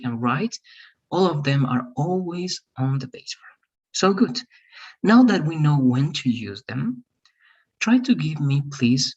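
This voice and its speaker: Guy teaching english online, husky, keeps asking all right?. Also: medium pitch, lo-fi sound. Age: 30s. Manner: patient, explains step by step, many "all right?" checks. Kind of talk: online grammar lesson.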